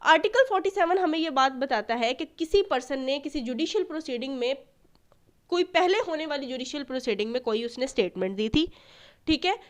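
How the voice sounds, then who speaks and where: A woman with a Pakistani accent giving an explanatory law lecture, didactic, repeating key phrases and checking that listeners follow. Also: high voice.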